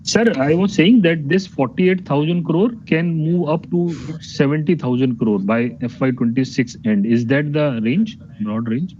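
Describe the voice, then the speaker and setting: A man's voice: a little high, a little slow, hardly any pauses, darkish tone. An Indian man, a financial analyst, politely probing management with questions on an earnings call. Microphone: conference call line. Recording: dull noisy conference phone line.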